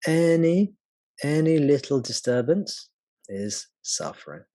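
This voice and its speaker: A man guiding a meditation as a spiritual teacher, speaking gently and unhurriedly with long pauses. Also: fairly high pitch, lively intonation, no echo. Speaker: spiritual teacher leading satsang. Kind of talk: slow guided meditation.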